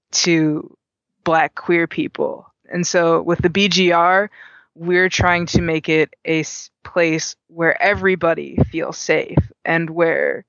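Gravelly-voiced man as earnest guest. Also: a little high, a bit bright, no room sound, muffled recording. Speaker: guest, gaming community organizer. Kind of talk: interview conversation.

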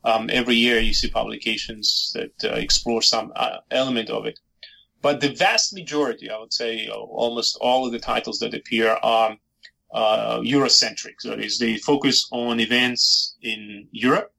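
Middle-aged man from Georgia, native Georgian speaker, thoughtful historian guest, bright gravelly tone. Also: medium pitch, fairly even intonation, moderate pace, rough recording. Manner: thoughtful, many fillers and restarts. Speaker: historian and author. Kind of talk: podcast interview answers.

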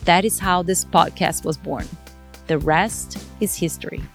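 A woman's voice: lowish voice, measured pace, faint music behind. Brazilian woman hosting a podcast. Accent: Brazilian Portuguese. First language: Portuguese. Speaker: podcast host. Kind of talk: scripted podcast intro and casual chat.

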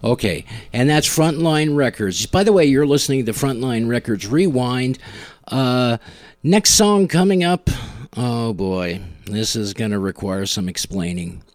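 A middle-aged man casually hosting a music show, talking in a wry, self-deprecating, halting way. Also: mid-range voice, expressive, darkish tone, no room sound. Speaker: podcast host and musician.

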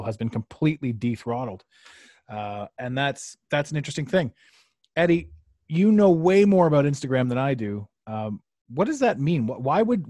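Male podcast host, middle pitch, dry close sound, loose rambling delivery full of fillers. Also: darkish tone, no room sound. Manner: rambling, repeats himself, many fillers. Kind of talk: casual live podcast chat. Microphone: podcast mic on a live stream.

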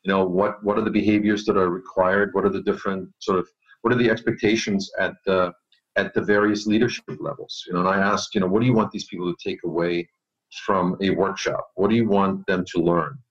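A man from Germany with a fairly low voice speaks as a coaching expert in an interview. He is conversational and emphatic, often restating a phrase to stress it. The recording is dull and somewhat band-limited. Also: fairly even intonation, fairly quick. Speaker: executive coach, interview guest.

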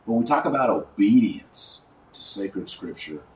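Low male voice, earnest religious podcast host, emphatic repetition, roomy echoing sound, picked up away from the microphone. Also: lively intonation, measured pace, a little mellow. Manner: earnest, emphatic, repeating phrases for rhetorical effect. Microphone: wrong microphone picking up from a distance. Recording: echoey room, distant wrong microphone, faint noise.

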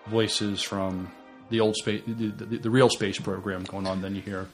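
A man's voice: lowish voice, level tone, measured pace, soft background music. A man co-hosting a podcast in casual chat, hesitant and repeating words as he forms his opinions. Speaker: podcast co-host.

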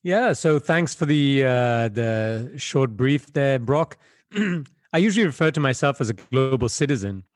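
A man's voice: mid-range voice, animated, unhurried, dry recording, clean studio sound, soft background music. A man from Belgium, a guest on an interview, answering in a reflective, conversational way with frequent fillers over a video call.

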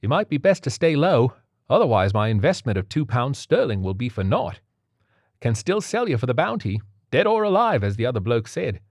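A man's voice: mid-range voice, big pitch swings, moderate pace, dry recording, clean studio sound, pitched above the performer's own voice. A man voicing a menacing, mock-friendly male captor with a Cockney accent in an audio story. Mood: taunting. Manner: taunting, falsely friendly.

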